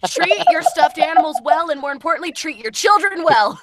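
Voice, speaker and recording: A woman's voice: high voice, expressive, a little fast. A woman voice actress chatting casually on a podcast over a slightly noisy connection.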